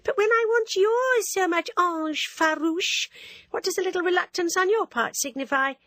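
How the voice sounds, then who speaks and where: A woman's voice: very high-pitched, normal speed, a bit bright, dry recording, clean studio sound, pitched above the performer's own voice. A woman voicing a vain, teasing young woman who gushes and drops French words into her talk.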